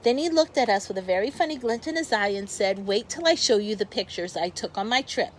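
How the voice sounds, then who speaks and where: A woman's voice: medium pitch, animated, a little fast, a little hiss or hum. A woman teacher reads a picture book aloud to young children, expressively, with explanatory asides to her pupils.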